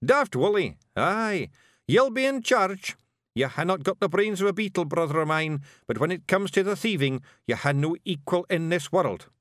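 A man voicing a small, blustering male fairy clan chief in a broad Scottish accent, gruff and boastful. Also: high-pitched, expressive, fairly bright tone, no echo, studio quality, voice pushed up. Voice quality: gruff, boastful, quick to bristle.

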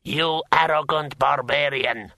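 A man's voice: on the high side, measured pace, bright, forceful tone, dry recording, exaggerated voice. A man voicing a haughty male dragon lord in a fantasy audiobook, firm and scornful.

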